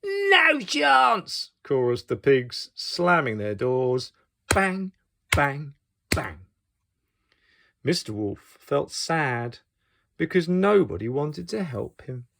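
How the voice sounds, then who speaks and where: A man reading a picture book aloud to young children as an expressive storyteller. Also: medium pitch, slow, creaky, long pauses, a little hiss or hum.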